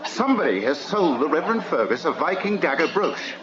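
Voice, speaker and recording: An actor playing a fussy, indignant, pedantic professor in a radio comedy drama, urgent in manner. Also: male voice, a little high, very animated, a little fast. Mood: agitated, indignant. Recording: noisy, dull radio broadcast recording.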